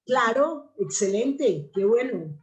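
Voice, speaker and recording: A woman's voice: a little high, animated, slow pace, muffled recording. A woman with a Colombian accent speaking conversationally as a theatre guest in an interview.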